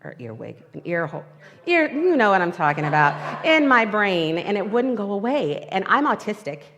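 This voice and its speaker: A woman's voice: mid-range voice, big pitch swings, normal speed, fairly bright tone, highs cut off. Woman, guest speaker, candid emotional storytelling, long hall reverb. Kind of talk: inspirational talk. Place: spiritual centre hall, livestream. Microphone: microphone in front of her.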